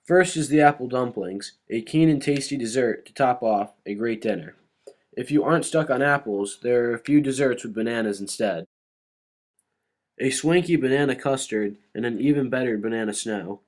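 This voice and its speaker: A man's voice: medium pitch, normal speed, a little mellow, close to an everyday voice. A man playing a cheerful 1920s radio chef in a scripted cooking segment.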